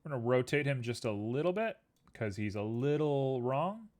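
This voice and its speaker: A man's voice: mid-range voice, moderate pace. A young man streaming live, chatting casually and rambling to his viewers while building a 3D scene. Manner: relaxed, rambling, talks to chat and to objects on screen.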